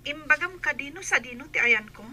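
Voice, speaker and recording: A woman's voice: sits high, animated, brisk, sharp tone, heavily acted. A woman playing an earnest young nanny talking to a friend in a radio drama. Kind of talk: Ilocano radio drama acting.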